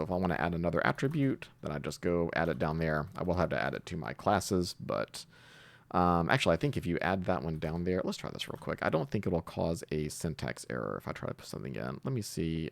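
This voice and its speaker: A man hosting a casual coding tutorial, thinking aloud with hesitant pauses as he improvises. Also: sits low, creaky, dry recording. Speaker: tutorial host, programming instructor.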